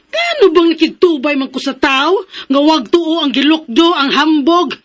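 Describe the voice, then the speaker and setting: A feisty Cebuano-accented Filipino mother in a radio drama. Her high voice leaps up and down as she scolds indignantly and protects her own. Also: very animated, measured pace. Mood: scolding. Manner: indignant, exclamatory, sing-song scolding. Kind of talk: Philippine radio drama acting.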